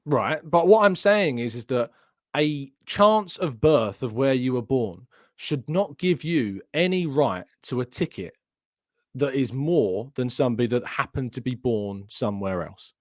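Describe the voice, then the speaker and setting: A man's voice: medium pitch, lively intonation, measured pace, dry recording, clean studio sound. A male host arguing his point insistently in a heated debate. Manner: argumentative, insistent. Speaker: show host.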